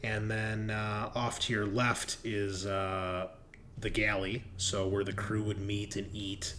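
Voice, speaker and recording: A man improvising narration as game master of a horror tabletop game, halting with drawn-out pauses as he describes scenes. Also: on the low side, flat delivery, a bit bright, a little hiss or hum.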